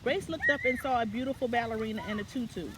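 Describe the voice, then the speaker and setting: A woman's voice: a little high, fast, noisy background. A woman reading a children's picture book aloud as a story time reader, now and then stumbling and correcting herself.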